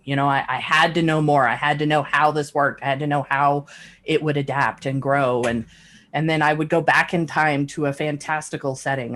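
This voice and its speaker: Reflective middle-aged woman author, halting delivery. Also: low-pitched. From grew up between Athens, Greece and Alaska. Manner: reflective, halting, searching for words. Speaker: guest author. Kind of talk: casual interview answers. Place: home office, indoors, on a video call. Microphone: large desk microphone on a boom arm.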